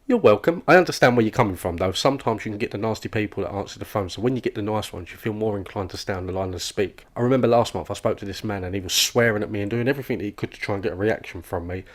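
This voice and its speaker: A man plays a cartoon office chatterbox. His voice sits fairly low and races along, cheerfully oblivious as he rambles and overshares with whoever is near. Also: rapid-fire, hardly any pauses, natural voice. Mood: earnest rambling. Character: oblivious, relentlessly chatty male office worker. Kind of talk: comic animated dialogue.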